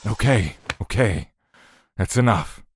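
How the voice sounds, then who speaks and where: An actor playing a stern, dutiful god of death in a fantasy audio drama, speaking in a stiff, curt, commanding manner. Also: male voice, fairly low pitch, animated, slow, slightly rough, no echo, clean studio sound, full character voice. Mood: stern, grave.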